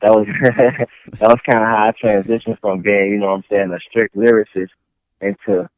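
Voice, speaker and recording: A man's voice: on the low side, little pitch movement, a little fast, no echo, phone-quality sound. A man from Chicago with a slight Southern accent, chatting casually as an interviewed rapper and often checking in with "you know" phrases. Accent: Chicago with slight Southern tinge. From Chicago, later Mississippi. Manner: conversational, frequent "you know what I'm saying".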